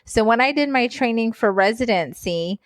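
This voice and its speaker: A woman from Guyana, a physician guest, telling her story in a casual interview, animated and laughing often. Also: on the high side, normal speed, dry recording. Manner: animated, laughs often, repeats words for emphasis.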